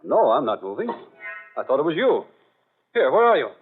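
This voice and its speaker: Muffled vintage radio horror play, scared bewildered husband, urgent halting questions, widely swinging pitch. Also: male voice, big pitch swings, soft background music. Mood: frantic, fearful. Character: frightened, bewildered husband searching for his wife. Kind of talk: old-time radio drama acting. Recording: muffled, narrow-band old radio recording.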